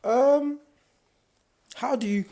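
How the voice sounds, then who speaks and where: A young man, co-hosting a casual podcast chat, thinking aloud in a halting way with stutters and many fillers. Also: very high voice, mellow. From South London, England. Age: young adult. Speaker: podcast co-host.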